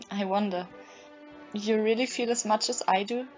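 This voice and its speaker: A woman's voice: medium pitch, fairly even intonation, measured pace, close to an everyday voice. A woman playing a giddy, devoted young fiancée in an audio play, gushing and affectionate. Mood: reassuring, fond. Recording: muffled audio, faint music behind.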